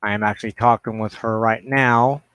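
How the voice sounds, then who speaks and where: A man hosting a livestream, making introductions and announcements in a halting, deliberate way with pauses mid-phrase. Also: on the low side, fairly even intonation, fairly bright tone, smooth, no room sound. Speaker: host of a religious broadcast show.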